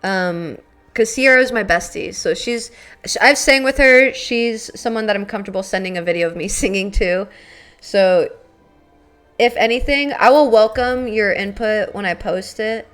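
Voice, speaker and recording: A young woman chats loosely with her viewers, drifting from topic to topic with plenty of fillers and casual swearing. Her pitch sits mid-range for a woman. Soft music plays faintly behind her. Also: moderate pace. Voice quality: raspy. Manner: chatty, rambling, swearing, many fillers. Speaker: streamer. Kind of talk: casual live-stream chat with viewers.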